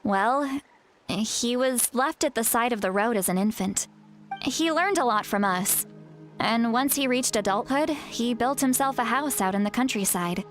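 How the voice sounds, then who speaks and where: A woman voicing a kindly elderly shaman in a video game who strives to sound youthful, chatty, self-assured and gently guiding. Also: on the high side, unhurried, faint music behind, close to an everyday voice. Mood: fond.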